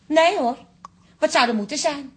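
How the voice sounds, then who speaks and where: An actress playing a touchy, insecure middle-aged wife in a radio drama, with a pointed, indirect manner. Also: female voice, sits high, normal speed, a bit bright. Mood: coy, hesitant. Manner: pointed, indirect, easily offended.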